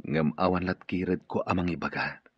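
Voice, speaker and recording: A man's voice: deep voice, steady tone, moderate pace, slightly rough, dry recording. A man acting in a radio drama as a stern, commanding boss who has been betrayed. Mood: tender, earnest. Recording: dull muffled radio drama recording.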